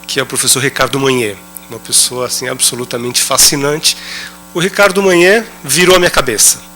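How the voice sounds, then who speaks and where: Man, bright voice, hesitant improvised talk, faint hum. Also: mid-range voice, steady tone, fairly quick. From São Paulo state, Brazil. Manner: hesitant, many "ah"s and restarts. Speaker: round-table panelist. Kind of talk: improvised talk at a round table.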